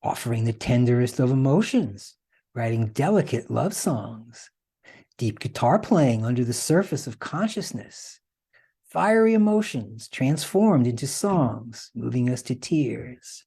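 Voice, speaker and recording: Male presenter, informal and conversational explainer; highly animated intonation, mellow tone, slightly husky. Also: a little slow. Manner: conversational, checks in with rhetorical questions. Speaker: host and presenter.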